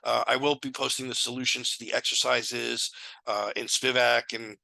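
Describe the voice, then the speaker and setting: Hoarse, sick man in his 30s, gravelly, very bright tone, casual math tutor on a headset. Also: mid-range voice, flat delivery, normal speed, dry recording, low-quality recording. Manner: casual, thinking aloud with fillers. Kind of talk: casual lecture walkthrough. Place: small room indoors.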